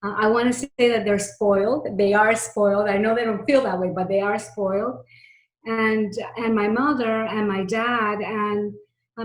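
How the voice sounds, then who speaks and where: A middle-aged woman from Miami gives personal, conversational interview answers. She sounds earnest and leans on repetition to make her points. Her pitch is mid-range, and the recording has a noticeable room echo. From Miami, United States, of Cuban family. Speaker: interview guest, technology executive. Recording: roomy, echoey sound.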